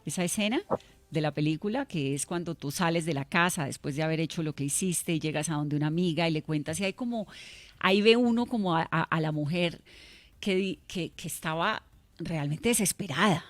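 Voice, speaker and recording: A woman's voice: low voice, a little fast, dry recording. A woman interviewing a guest on radio, asking direct, probing questions.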